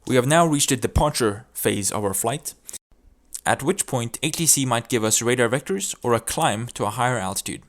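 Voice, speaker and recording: A man's voice: medium pitch, expressive, normal speed. Man narrating an instructional tutorial. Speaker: tutorial presenter. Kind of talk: instructional video narration with demonstrated radio calls.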